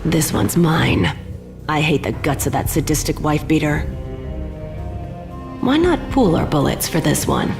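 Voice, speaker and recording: A tough femme fatale for a noir game. Her voice is low, cool and sardonic, echoing slightly roomy over music. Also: wildly swooping pitch, measured pace, creaky, pauses often, exaggerated voice. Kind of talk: noir video game acting. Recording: echoey sound, music behind.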